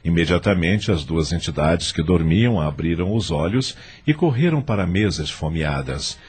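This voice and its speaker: Um homem com sotaque brasileiro narrando uma novela de áudio a partir de um texto. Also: male voice, deep voice, animated, normal speed, a little mellow, slightly rough. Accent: Brazilian. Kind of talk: scripted audio drama narration.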